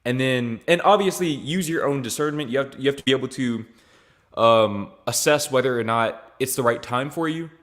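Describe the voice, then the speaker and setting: A young man answering his viewers' questions in a loose, easygoing way. He speaks at an ordinary, mid-range pitch. His slang and repeated phrases keep it sounding like a chat with a friend. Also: moderate pace, a bit bright. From Louisiana, raised in Texas. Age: young adult. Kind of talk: casual Q&A vlog.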